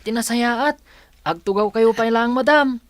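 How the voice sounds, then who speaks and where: A woman's voice: fairly high pitch, unhurried, a little hiss or hum, natural voice. A woman playing a caring, anxious young student who speaks politely in an audio drama. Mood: concerned. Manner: polite, solicitous.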